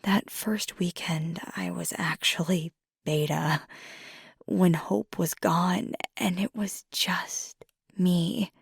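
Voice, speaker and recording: Casting: woman to play an earnest, ambitious young werewolf girlfriend for ASMR roleplay. Low-leaning gravelly voice, halting, confiding, unhurried delivery. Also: steady tone, no room sound, clean studio sound, exaggerated voice. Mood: earnest, impassioned. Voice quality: husky, breathy. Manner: hesitant, soft-spoken, halting confiding. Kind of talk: intimate ASMR roleplay monologue.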